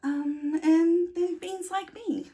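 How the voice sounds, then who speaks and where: A woman's voice: very high-pitched, steady tone, takes it slowly, warm tone, pitched above the performer's own voice, exaggerated voice. A woman voicing a shy, awkward, self-doubting bean in a children's storybook, hesitant and stammering. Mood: glum, hesitant.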